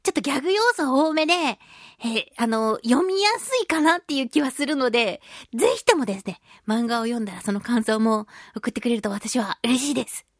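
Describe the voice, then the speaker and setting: A woman hosting a radio show, chatting casually and reading listener mail in a playful, expressive way. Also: on the high side, a little fast, no echo, clean studio sound. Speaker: radio show host, voice actress.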